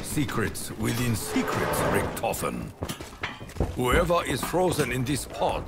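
An actor playing a solemn, proud warrior in a video game, speaking in a grave, formal, dramatic way. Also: male voice, medium pitch, sing-song, slow, vocal fry, full character voice. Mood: grave, defiant. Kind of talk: video game character acting. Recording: loud game music and effects.

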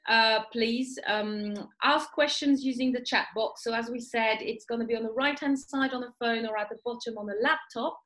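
A woman's voice: fairly high pitch, normal speed, hardly any pauses, a bit bright. A woman hosting an online class, giving informal housekeeping instructions to participants. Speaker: class host.

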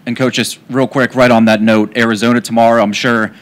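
A man's voice: medium pitch, little pitch movement, a little fast. A man, a reporter, putting questions at a press conference.

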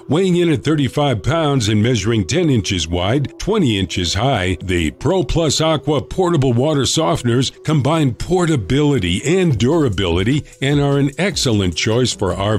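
A man delivering scripted product review narration in a steady, promotional announcer-like manner. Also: medium pitch.

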